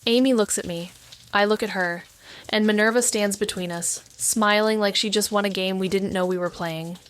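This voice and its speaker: A woman's voice: mid-range voice, steady tone, normal speed, smooth, natural voice. An actress playing a wry, cynical female investigator in first-person noir narration for an audio drama. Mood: wry, tense.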